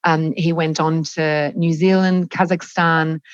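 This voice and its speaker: A woman's voice: low voice, little pitch movement, unhurried, clear, resonant tone, no room sound. Hesitant woman on a dull, dry call. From France. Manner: hesitant, many ums and restarts. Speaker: guest, company chief executive. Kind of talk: conversational interview answers.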